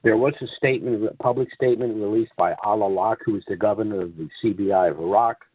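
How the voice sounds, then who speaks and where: A man giving an informal, opinionated monologue on a conference call, speaking emphatically and deliberately, repeating phrases for weight. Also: lowish voice, slightly rough, dry recording. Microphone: conference call line. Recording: dull, dry, lo-fi conference call audio.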